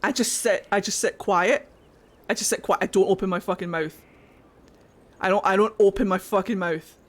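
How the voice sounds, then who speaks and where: A woman's voice: medium pitch, steady tone, normal speed. Young female streamer from Glasgow; casual, profane, laughing, mid-range voice. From Glasgow, Scotland. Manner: swearing, laughing often, rambling. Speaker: game streamer. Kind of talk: casual livestream chat while gaming.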